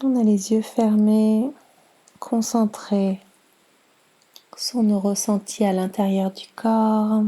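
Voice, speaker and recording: A woman guiding a yoga nidra meditation in a soft, soothing, unhurried manner with long pauses. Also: mid-range voice, little pitch movement. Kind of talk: guided meditation.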